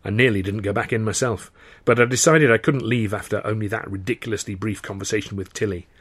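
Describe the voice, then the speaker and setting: A man with an English accent reads his own novel aloud in measured, first-person storytelling. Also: lowish voice, steady tone, fairly bright tone, slightly rough, no room sound. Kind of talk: dramatised audiobook narration.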